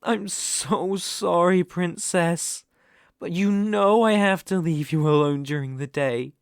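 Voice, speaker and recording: Man acting a slow, obsessive British kidnapper boyfriend. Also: fairly high pitch, creaky, no room sound, studio quality, exaggerated voice. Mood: clingy, adoring. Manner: condescending, menacing pet-name coaxing. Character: obsessive possessive young male kidnapper, volatile, controlling. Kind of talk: intimate ASMR roleplay acting. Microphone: close microphone.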